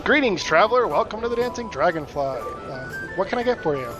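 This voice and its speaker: A helpful barkeep, played by a man in a fantasy radio play. His voice is high and lively in intonation, set over clear music. He talks casually to customers. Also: brisk, poor audio. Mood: welcoming. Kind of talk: fantasy radio drama acting.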